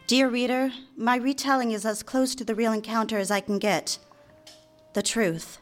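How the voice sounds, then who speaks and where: An actress playing a young 1950s secretary in a radio drama, confiding and lovestruck, live on a theater stage. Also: female voice, on the high side, fairly even intonation, moderate pace, soft background music, natural voice. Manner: confiding, direct address to listener. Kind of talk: radio drama narration and dialogue.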